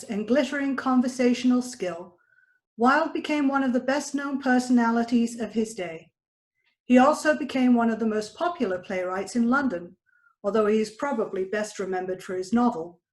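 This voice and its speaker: A woman presenter reading a scripted introduction. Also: on the high side.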